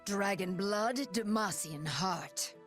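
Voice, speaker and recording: A woman's voice: medium pitch, slow, a bit bright, soft background music. A woman voicing a proud, fierce half-dragon warrior in a video game, stern, terse and defiant.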